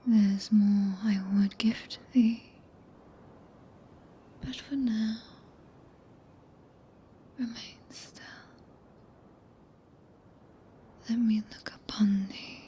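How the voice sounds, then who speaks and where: A very slow, hushed British witch of moonlight, played by a woman, whispers close to the ear in an ASMR roleplay. Her delivery is soft, mellow and level, regal and possessive yet tender, with archaic phrasing. A faint rumble sits under the voice. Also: steady tone. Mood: gently commanding. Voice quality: breathy whisper. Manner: slow, soothing, commanding, archaic. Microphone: close binaural ASMR microphone.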